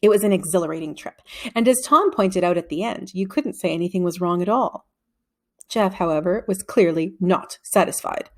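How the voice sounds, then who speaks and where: A woman narrating a children's novel aloud in an expressive storytelling way. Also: lowish voice, moderate pace. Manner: expressive storytelling with acted dialogue.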